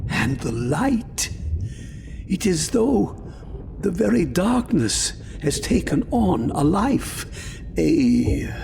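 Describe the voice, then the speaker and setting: An actor playing a loyal, courteous manservant in an audio drama, speaking in a halting, precise, reverent way. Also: male voice, high voice, very animated, creaky, a little mellow, slight background noise, full character voice. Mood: awestruck.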